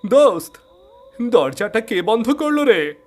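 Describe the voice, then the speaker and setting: A man's voice: sits high, very animated, measured pace, soft background music, voice pushed up. A man voicing an anxious male friend in a ghost story, pleading and urgent. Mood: alarmed.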